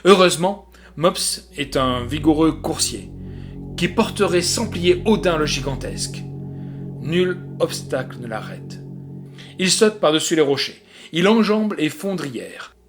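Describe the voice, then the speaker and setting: A man reading a literary gothic tale as an audiobook, in an expressive, measured and dramatic storytelling manner. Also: on the high side. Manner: dramatic, measured storytelling with swells and hushed drops. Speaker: audiobook reader. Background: music, guitar.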